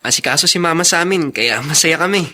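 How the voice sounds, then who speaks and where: Bright, kindly big brother. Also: male voice, a little high, lively intonation, fast. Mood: proud. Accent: Filipino. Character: kind, protective older brother, fond and open. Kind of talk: Filipino radio drama acting.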